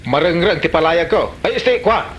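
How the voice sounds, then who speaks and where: Casting: male actor as boastful Ilocano-accented young hothead son, highish voice, very animated comedy drama delivery, noisy recording. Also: a little fast. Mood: angry, menacing. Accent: Ilocano Filipino. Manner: boastful, threatening. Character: brash hot-headed grown son boasting about taekwondo. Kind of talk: Filipino comedy radio drama acting.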